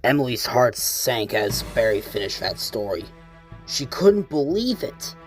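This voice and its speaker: A man's voice: medium pitch, big pitch swings, unhurried, pitched below the performer's own voice. A man reading scripted narration for a children's story in a fan comic dub. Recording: music under the voice.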